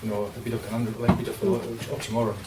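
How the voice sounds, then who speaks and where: A middle-aged man with a Greek accent talks earnestly in a roundtable discussion, pausing often on fillers and starting sentences again. He is picked up from a distance in a reverberant event room. There is steady background noise behind him. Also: fairly low pitch. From Greece. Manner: earnest, thoughtful, with frequent fillers and restarts. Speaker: panelist working with athletes. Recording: distant mic in an echoey, noisy room.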